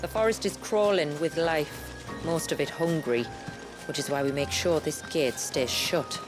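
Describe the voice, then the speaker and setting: An actress playing a grave village guide who keeps her people's history, speaking in a measured, solemn storytelling way in a fantasy game. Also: low voice. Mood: guarded, purposeful. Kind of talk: dramatic fantasy game dialogue. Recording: music under the voice.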